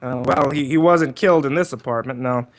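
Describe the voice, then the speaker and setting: A man's voice: mid-range voice, fairly quick, lightly acted. Man playing a wry, laid-back private eye. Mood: wry, inquisitive. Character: wisecracking, flirtatious male private detective, ex-cop. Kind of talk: 1940s detective radio drama. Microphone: livestream performance.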